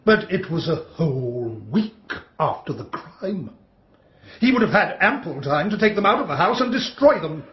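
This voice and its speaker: Bullying male defence lawyer for radio drama, high voice, pushy and emphatic, roomy dull sound. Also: big pitch swings, normal speed. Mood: probing, sceptical. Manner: hectoring, emphatic cross-examining. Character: bullying male defence barrister, relentless and imperious. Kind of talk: radio courtroom drama acting.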